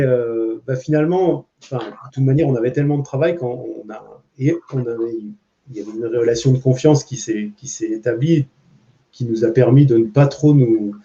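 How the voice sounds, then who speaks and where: Very mellow, informal Frenchman, muffled sound. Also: mid-range voice. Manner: informal, hesitant, searching for words. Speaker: comics artist, guest on a panel. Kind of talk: casual panel talk. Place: public panel at a comics festival. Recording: muffled, dull recording.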